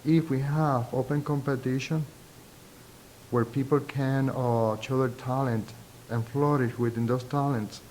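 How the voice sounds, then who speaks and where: A middle-aged man and native Spanish speaker with a thick Puerto Rican accent, giving reflective, halting, deliberate interview answers as a university professor. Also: fairly even intonation, a little mellow. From Puerto Rico. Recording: noisy, low rumble behind.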